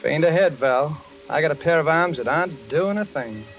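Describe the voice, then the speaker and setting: An actor playing an earnest, impulsive engineer hero in a 1940s radio thriller, plain-spoken and urgent. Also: male voice, on the high side, lively intonation, moderate pace, loud music behind the voice, rough recording. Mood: relieved, wry. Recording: old 1940s radio recording.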